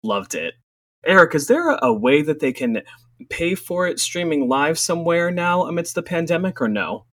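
A man's voice: big pitch swings, moderate pace, a bit bright, no room sound. A man co-hosting a casual podcast, teasing and dry in his humor. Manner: dry, teasing, drops low when joking. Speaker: podcast co-host. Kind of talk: casual podcast chat and interviewing.

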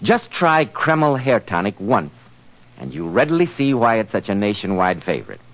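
A man's voice: mid-range voice, sing-song, normal speed, creaky, a bit bright. An American man announcing a 1940s radio show in a polished, chummy salesman's style. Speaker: announcer and sponsor pitchman. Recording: dull lo-fi 1940s radio recording.

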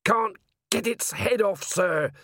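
A man's voice: sits high, slow pace, a bit bright, voice pushed up. A man voicing an earnest, polite, rule-bound young watch officer in an audiobook. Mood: straining.

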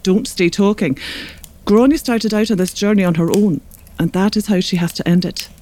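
An actress with an Irish accent playing a shrewd, hospitable northern wise woman in a children's audio drama, speaking with deliberate gravity. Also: mid-range voice, mellow, a little hiss or hum, close to an everyday voice. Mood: grave, frank.

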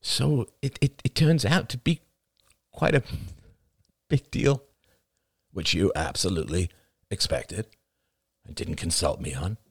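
A man's voice: low voice, very animated, a little slow, pauses often, slightly rough, dry recording, studio quality, full character voice. A man voicing an angry, exasperated young man in an audiobook, biting, incredulous and accusatory with his partner. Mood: accusing, cautious.

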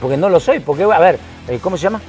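A man's voice: sits high, animated, rapid-fire, darkish tone, faint music behind. An older man and former footballer, co-hosting a casual sports chat, teasing and emphatic, often repeating himself.

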